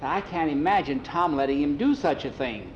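An anxious girl, as a male storyteller puts her on, lifted in pitch and full of worried dismay. It is a storybook telling with some faint noise. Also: animated, normal speed, dull audio, natural voice.

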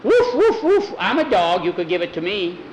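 A man voicing an excited, eager little boy who repeats what others say. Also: high-pitched, normal speed, slight background noise, pitched above the performer's own voice, rough recording. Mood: playful, eager.

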